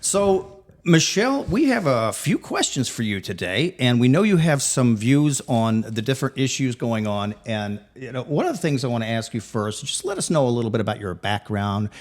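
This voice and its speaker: A man's voice: mid-range voice, sing-song, moderate pace. A man hosting a talk show, interviewing a guest and asking long questions with frequent repeated words.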